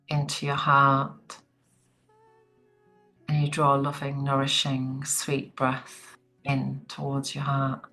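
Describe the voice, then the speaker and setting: A woman leading a guided relaxation meditation in a soothing, gentle, unhurried manner. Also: extremely low pitch.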